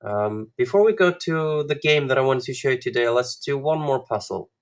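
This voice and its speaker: A man from Iceland with an Icelandic accent, teaching a live online lesson as a coach in a halting, deliberate way with long pauses. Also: medium pitch, lively intonation. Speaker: guest chess coach. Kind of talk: live online lesson, talking through puzzles to students.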